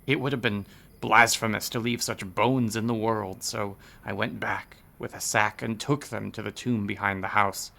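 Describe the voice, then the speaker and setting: A man's voice: fairly low pitch, fairly even intonation, normal speed, vocal fry, pushed and bright. An actor playing an earnest, argumentative intellectual man obsessed with eerie legends in a radio horror drama. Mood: ominous, insistent.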